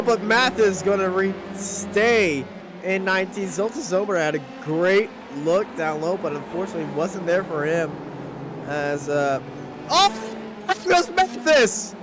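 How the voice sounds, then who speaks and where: Hoher Männerstimme, Rennkommentar über Motorengeräusch. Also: male voice, very high-pitched. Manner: relaxed, laughing, rises to excited play-by-play. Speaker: race commentator. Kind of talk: sim racing play-by-play. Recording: clear background car noise. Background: race car engine sounds.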